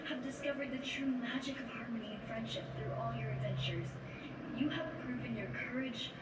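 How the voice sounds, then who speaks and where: A woman's voice: on the high side, natural voice. A woman voicing a stately alicorn princess mentor. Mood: proud. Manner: measured, gentle, formal. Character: elder female alicorn princess mentor, calm and proud. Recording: echoey, distant, loud hiss.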